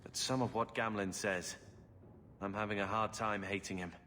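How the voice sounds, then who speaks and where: A man's voice: fairly low pitch, steady tone, moderate pace, sharp tone, long reverb tail, a little hiss or hum. An actor playing a resentful, sarcastic young man, a brooding younger brother in a video game. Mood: bitter, resigned. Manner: sullen, sarcastic.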